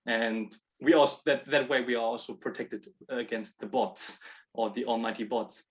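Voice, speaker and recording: Halting man on a dull call line. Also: medium pitch, fairly even intonation. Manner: halting, restarts often, laughs between points. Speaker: project proposer. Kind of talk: pitch Q&A on a video call. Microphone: video call.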